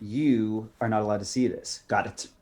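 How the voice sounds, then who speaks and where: An actor playing a gruff, officious security guard in an audio drama, curt and suspicious. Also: male voice, fairly low pitch, steady tone, moderate pace, darkish tone, lightly acted. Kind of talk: full-cast audio drama acting.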